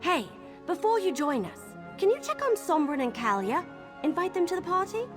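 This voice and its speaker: Young female game adventurer, high-pitched, very animated melody, eager, chatty, folksy, music behind. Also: normal speed. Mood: excited. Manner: bubbly, folksy exclamations. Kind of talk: video game character dialogue.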